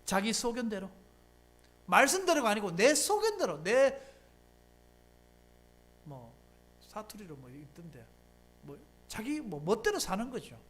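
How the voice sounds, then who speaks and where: Pastor, lively up-and-down sermon delivery, punchy rhetorical questions, church setting. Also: mid-range voice, a little slow, long pauses, a little hiss or hum. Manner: emphatic rhetorical questions, conversational preaching. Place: church sanctuary.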